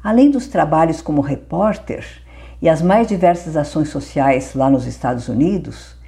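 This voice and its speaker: A woman hosts a podcast and opens the episode with a scripted introduction to her guest. Her voice is mellow and fairly low for a woman, and her delivery is enthusiastic. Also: moderate pace, gravelly. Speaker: podcast host.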